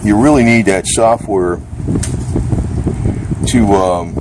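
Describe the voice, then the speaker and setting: Man, lowish voice, casual unscripted gear review, blunt and rambling, salty slang, faintly noisy outdoor recording. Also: slow pace. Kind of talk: unscripted casual product chat. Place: outdoors under a carport.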